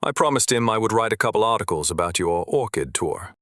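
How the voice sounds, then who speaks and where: An actor playing an earnest, polite, businesslike go-between in a scripted mystery drama. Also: male voice, fairly low pitch, animated, a little fast, a bit bright, dry recording, studio quality. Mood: agreeable. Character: Benny, earnest, businesslike male go-between and writer.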